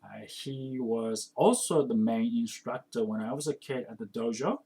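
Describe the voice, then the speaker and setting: A man in his early forties from Japan, with a Japanese accent touched by New Zealand English, hosting a casual solo podcast in a halting, informal, self-correcting manner. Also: mid-range voice, warm tone. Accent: Japanese with New Zealand influence. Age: early 40s. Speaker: podcast host and kendo instructor.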